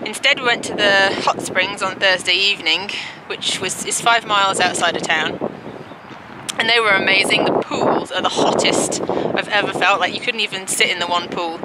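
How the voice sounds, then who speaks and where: A woman's voice: medium pitch, normal speed. A woman, telling a rambling, informal story in a casual hiking vlog recorded outdoors. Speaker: hiking vlogger. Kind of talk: casual vlog recap chat. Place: outdoors on a mountain desert trail. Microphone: handheld camera at arm's length. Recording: windy outdoor camera audio, loud noise. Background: loud wind noise.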